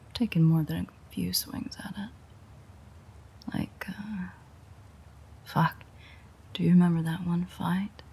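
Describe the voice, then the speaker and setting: A woman playing a sardonic, teasing, world-weary female vampire in an intimate audio roleplay, with a breathy, husky, whispery voice and a dry manner. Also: lowish voice, dark tone, slight background noise.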